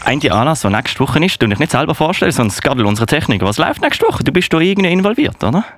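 A man's voice: on the high side, big pitch swings, quick pace, noisy background. A man with a Swiss German accent hosting a live radio show in a casual, chatty style. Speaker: radio host.

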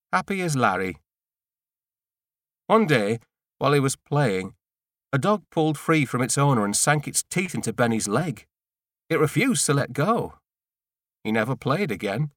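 A man reading a first-person novel in an understated, dry, clearly spoken storytelling manner. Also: medium pitch, very animated, measured pace, long pauses, a bit bright, dry recording. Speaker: audiobook narrator.

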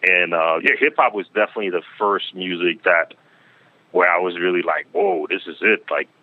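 A man from Denmark, a producer and DJ, chatting casually in an interview, relaxed and full of fillers and repeated words. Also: sits low, moderate pace. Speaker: music producer and DJ guest. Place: lives in Queens. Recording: tinny phone-like line.

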